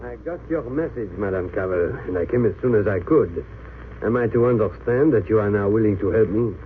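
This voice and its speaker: A man's voice: fairly low pitch, animated, fairly quick, close to an everyday voice. An actor playing a grave, courteous Belgian resistance man in an old radio drama, speaking formally and earnestly. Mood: grave gratitude. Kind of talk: 1930s-40s radio drama acting. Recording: tinny, hissy old radio recording.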